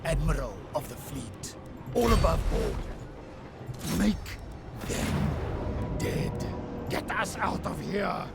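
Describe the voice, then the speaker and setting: Greedy pirate lord, gravelly, very slow drawl, game voice lines. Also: male voice, fairly high pitch, very animated, exaggerated voice. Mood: menacing. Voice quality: gravelly, clipped commands. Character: gruff seafaring pirate lord, menacing, greedy. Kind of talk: video game unit voice lines. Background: loud game music.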